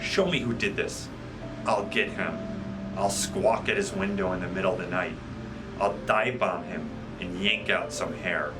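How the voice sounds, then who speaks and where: A man's voice: low voice, a little slow, music in the background, poor audio. A man voicing a feisty, protective female crow in a children's storybook, blunt and indignant, with a pushy, colloquial way of talking. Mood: vengeful.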